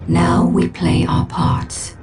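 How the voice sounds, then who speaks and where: An actress playing a wise, calculating alien seer, speaking in measured, formal, cryptic phrases. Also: female voice, on the low side. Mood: calm, grave. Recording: slightly distant voice under music.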